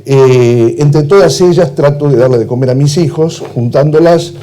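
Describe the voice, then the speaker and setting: A middle-aged Argentine man with an Argentine accent, a translator giving a critical, ironic talk, often pausing on "eh" and "este". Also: fairly high pitch, warm tone. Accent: Argentine Spanish. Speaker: translator and cultural manager. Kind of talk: prepared talk at a cultural event.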